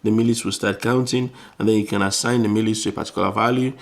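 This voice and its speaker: A man's voice: fairly low pitch, fairly even intonation, fast talker, creaky. A man explaining electronics informally, step by step, as a tutor who repeats phrases while he demonstrates.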